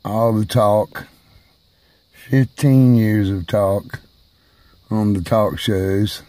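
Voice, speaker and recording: Slow, low-voiced man musing aloud. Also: warm tone. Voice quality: hard of hearing, speaks raised at times. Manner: slow, halting, emphatic delivery. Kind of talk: personal video monologue.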